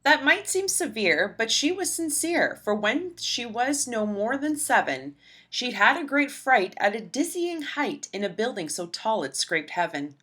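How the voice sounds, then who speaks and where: A woman narrating a rhyming children's storybook aloud in an expressive, rhythmic, dramatic way. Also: on the high side, a little slow, breathy edge. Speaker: storybook reader.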